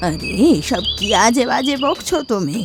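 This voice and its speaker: A woman's voice: a little high, sing-song, normal speed, noisy background. A woman playing a defensive village mother in a cartoon, protective and indignant. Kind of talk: cartoon dialogue.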